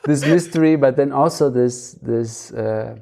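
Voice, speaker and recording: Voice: mid-range voice, measured pace, creaky, mellow. A person in a casual intellectual conversation, hesitant and searching for words. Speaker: panel discussion participant.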